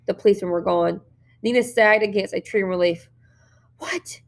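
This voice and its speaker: Woman reading a novel aloud, mid-pitched. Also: steady tone, fast, creaky. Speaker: story reader. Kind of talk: expressive read-aloud narration of a novel.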